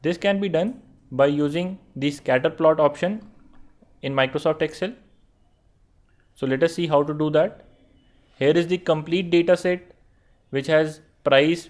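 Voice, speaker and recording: A man's voice: on the high side, moderate pace. Indian-accented man teaching an online course. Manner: steady explanatory tone, addresses students directly. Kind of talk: screen-recorded tutorial narration.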